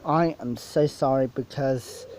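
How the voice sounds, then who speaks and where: Slow-talking man in a casual gear walkthrough. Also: medium pitch, darkish tone, poor audio. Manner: rambling, halting explanations. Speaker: DJ presenting his PA setup.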